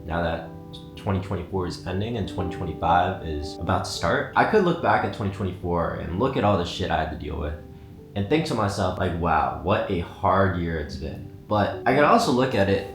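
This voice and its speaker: A young man in his twenties speaks in a lowish voice, casual and candid, dropping swear words as he reflects. The sound is roomy, with loud guitar music playing behind him. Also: lively intonation, fairly quick. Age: 20s. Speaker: vlogger. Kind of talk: reflective personal vlog. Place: apartment.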